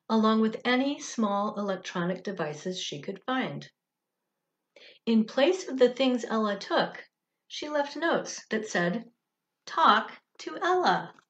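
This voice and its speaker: A woman reading a children's story aloud in an expressive, deliberate storytelling manner. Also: medium pitch, lo-fi sound. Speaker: director of religious education, storyteller.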